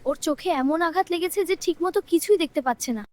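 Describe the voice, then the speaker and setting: Woman voicing a very high-pitched curious cartoon child. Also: fast talker, faint music behind. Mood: concerned. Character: curious kind-hearted child on a village adventure. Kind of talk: cartoon dub dialogue.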